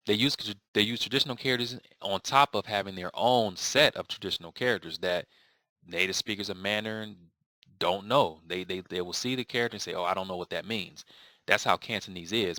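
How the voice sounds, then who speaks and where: A man with a very low voice that still rings bright and forceful talks through a viewer's question in a loose, rambling way. He restarts his sentences and leans on fillers as he explains. The sound is dry and close, with no room around it. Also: no echo. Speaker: language learning vlogger. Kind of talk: casual spoken video answer.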